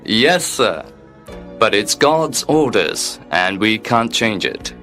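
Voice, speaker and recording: A man reading as an unflappable male clerk at the gates of the afterlife, courteous and coldly matter-of-fact. He speaks slowly with a lively, rising and falling pitch. Soft music plays faintly underneath. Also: heavily acted. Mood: polite, unruffled. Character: polite, coolly formal male afterlife receptionist. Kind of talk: audiobook character reading.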